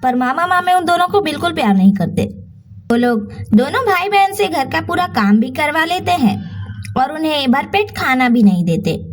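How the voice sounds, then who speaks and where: High, very animated woman storyteller. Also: normal speed. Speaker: storyteller and channel host. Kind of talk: scripted bedtime story narration.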